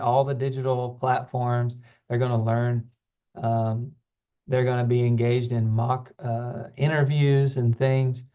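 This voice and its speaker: A man's voice: mid-range voice, dark tone. A man giving an informational presentation as a student program staffer, earnest and explanatory, repeating words for emphasis. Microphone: online call microphone. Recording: slightly dull, lo-fi call recording.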